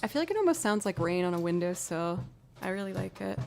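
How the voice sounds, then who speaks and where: A woman with a low alto voice, livestreaming as a musician and chatting casually while she builds loops, often thinking out loud. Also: sing-song, fast talker, gravelly, slight background noise. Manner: chatty, talks aloud to herself.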